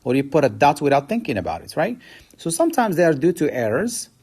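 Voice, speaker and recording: Husky man explaining statistics. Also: big pitch swings, quick pace, darkish tone. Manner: explanatory, rises in pitch to stress key words. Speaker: math teacher. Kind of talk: recorded statistics lecture.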